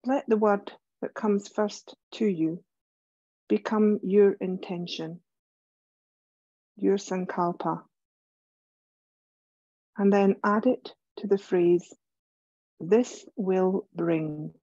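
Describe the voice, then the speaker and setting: A woman leading a guided relaxation meditation as a yoga teacher, gentle and soothing, speaking in measured phrases with pauses. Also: mid-range voice, steady tone, dry recording, dull audio.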